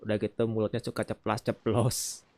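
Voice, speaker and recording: A woman's voice: very deep voice, little pitch movement, fairly quick, darkish tone, slight background noise. A woman with an Indonesian accent giving casual commentary as she plays a video game. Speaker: game streamer.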